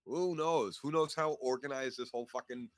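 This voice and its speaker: A man's voice: medium pitch, a little fast, no room sound, clean studio sound. A man co-hosting a casual fan podcast, blunt and emphatic, swearing constantly as he makes his points. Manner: blunt, heavily profane, emphatic. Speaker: podcast co-host.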